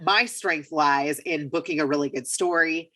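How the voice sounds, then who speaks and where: A woman with a raspy voice from a lingering cold, talking as a podcast guest. Her voice sits low, but her tone is bright and forceful. She sounds upbeat and leans hard on her points. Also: moderate pace. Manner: upbeat and emphatic. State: getting over a cold. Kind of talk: casual interview talk.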